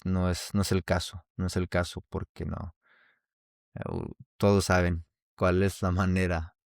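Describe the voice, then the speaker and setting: A man's voice: low voice, clean tone, no echo, clean studio sound. A man from Mexico with a Mexican accent, hosting a casual solo podcast and talking in a rambling way with many restarts. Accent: Mexican Spanish. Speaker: podcast host.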